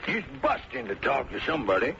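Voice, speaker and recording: Old rustic farmer: rural American drawl, touchy and chatty, higher-pitched and creaky, noisy vintage radio drama. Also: male voice, sing-song, a little fast, sharp tone, muffled recording, heavily acted. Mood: folksy, cheerful. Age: older. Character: old backwoods farmer, talkative, folksy, touchy.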